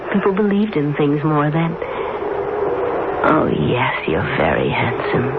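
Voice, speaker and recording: An actress playing a seductive, sinister witch woman in a radio horror drama, coaxing and commanding as she lures a man. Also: deep voice. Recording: noisy, narrow-band old radio recording.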